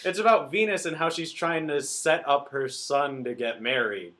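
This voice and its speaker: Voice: medium pitch, normal speed, fairly bright tone. A podcast host leading a casual book review chat in a joking, irreverent way.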